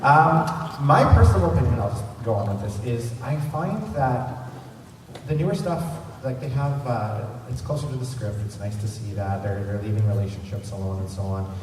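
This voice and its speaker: A man presents informally to a fan panel, chatty and rambling. He is recorded at a distance in a very echoey hall with clear background noise. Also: medium pitch, normal speed. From Canada. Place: large convention centre room.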